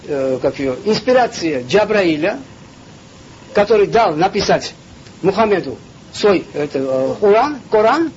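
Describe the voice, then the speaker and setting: Guy preaching, high voice that swings all over, lots of uh's, muffled lo-fi audio with some hiss. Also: darkish tone. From Georgia. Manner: emphatic, with frequent hesitations and rhetorical questions. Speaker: spiritual lecturer. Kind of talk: impassioned religious lecture. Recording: dull lo-fi recording with faint background noise.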